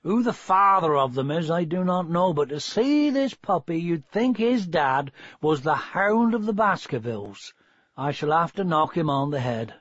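A man voicing a gruff but soft-hearted old farmer in an audiobook, with blunt, solemn rustic speech. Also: on the high side, lively intonation, moderate pace, dry recording, muffled recording, pitched above the performer's own voice.

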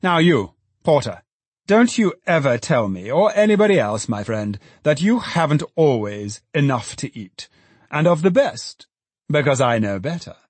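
A man's voice: on the high side, very animated, moderate pace, slightly rough, no echo, pitched above the performer's own voice. A man doing a jovial, patronizing alderman. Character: hearty, condescending middle-aged male alderman.

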